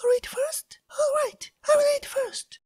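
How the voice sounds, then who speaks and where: A reader voicing a silly, anxious young woman from a fairy tale in a very high, breathy, tearful whisper. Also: steady tone, a little slow, darkish tone, no room sound, studio quality. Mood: tearful fretting. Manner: wailing, tearful. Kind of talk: storybook reading.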